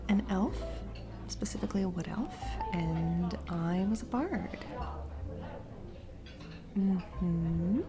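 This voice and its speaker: A woman playing a warm single mom on a first date in a romantic audio roleplay, speaking hesitantly and encouragingly with soft giggles. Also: medium pitch. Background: background music, restaurant date ambiance.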